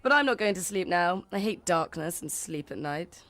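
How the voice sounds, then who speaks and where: A woman's voice: lowish voice, animated, normal speed, fairly bright tone, a little hiss or hum. A woman playing a restless, vain schoolgirl who loves to dance, with theatrical, eager, impatient delivery in a literary audio drama.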